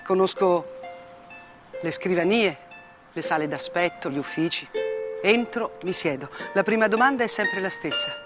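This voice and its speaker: An actress playing a weary, defiant woman journalist bearing witness in a dramatic theatre monologue, with deliberate, clipped phrases and emphatic repetition. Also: on the low side. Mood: weary, bitter. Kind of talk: dramatic theatre monologue, first-person testimony.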